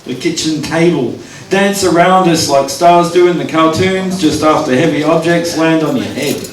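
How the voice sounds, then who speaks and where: A man's voice: fairly high pitch, normal speed. Man reading poetry at an open mic. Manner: wry asides, counts down his time aloud. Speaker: poet performing. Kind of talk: live spoken-word poetry reading. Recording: echoey room, distant mic, audience.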